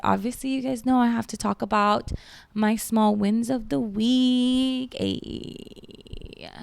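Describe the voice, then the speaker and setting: A woman's voice: medium pitch, gravelly, clear, resonant tone, dry recording, studio quality. A woman in her thirties from the Philippines with a light Filipino accent, hosting a casual solo podcast in a chatty way full of "like" and "um". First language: Filipino language. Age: 30s. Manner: chatty, lots of "like" and "um", laughs at herself. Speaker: podcast host.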